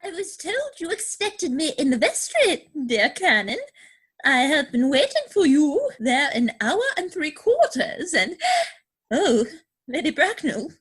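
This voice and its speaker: A flustered, prim older governess from a comic stage play. The voice is piercingly high and swings widely up and down. Her delivery is halting, fluttery and full of melodrama. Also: very animated, fairly bright tone, exaggerated voice. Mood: fussy, then alarmed.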